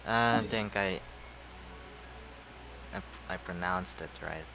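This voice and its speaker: A man's voice: low voice, slow, creaky. A man giving casual gameplay commentary, rambling and talking to himself. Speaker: gameplay streamer. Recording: noisy mic over game audio.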